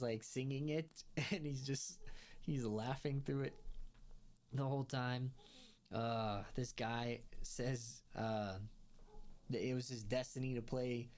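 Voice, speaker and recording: A man's voice: medium pitch, darkish tone. A young man giving a casual spoken review of a comedy series, rambling with lots of fillers and laughing as he talks. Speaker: show reviewer.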